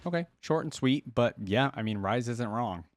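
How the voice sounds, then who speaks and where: A man's voice: mid-range voice, animated, normal speed. A man giving casual, chatty, slangy reaction commentary as a video host.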